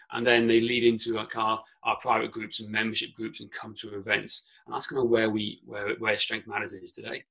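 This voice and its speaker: A man's voice: lowish voice, gravelly, a bit bright, poor audio. A Welsh man whose first language is Welsh, a podcast guest chatting casually from a spare room at home over a remote call, often restarting his words. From Wales. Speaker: podcast guest, company founder. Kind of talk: casual interview chat.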